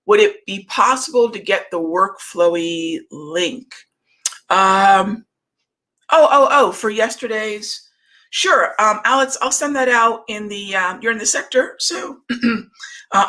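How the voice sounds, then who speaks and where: A woman in her middle years hosts a live trading chat, reading out viewers' questions and answering them in an easy, conversational way full of fillers. Her voice is bright and forward, though it is wearing thin and hoarse. Her pitch sits in an ordinary middle range for a woman. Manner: conversational, reads viewer comments aloud, many fillers. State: losing her voice, throat clearing. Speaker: trading show host.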